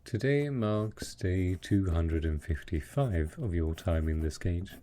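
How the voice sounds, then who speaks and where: A man's voice: deep voice, expressive, slow pace, dark tone, slight background noise. A man playing a devoted post-apocalyptic husband in an intimate audio drama, speaking tenderly and patiently as he coaxes his monstrous spouse. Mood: wistful, measured. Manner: tender, coaxing, patient, full of pet names.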